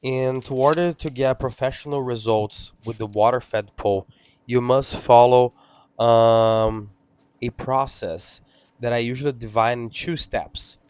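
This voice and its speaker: A man's voice: mid-range voice, fairly even intonation, darkish tone, slight background noise. Portuguese-accented man chatting with fillers. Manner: halting, many fillers and restarts. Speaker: window cleaner. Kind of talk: casual talk-over.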